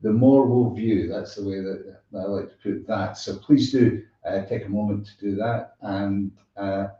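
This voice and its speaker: A man's voice: lowish voice, fairly even intonation, measured pace. A man casually hosting a live online music show, with a hesitant, meandering delivery. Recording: muffled, echoey, far from mic.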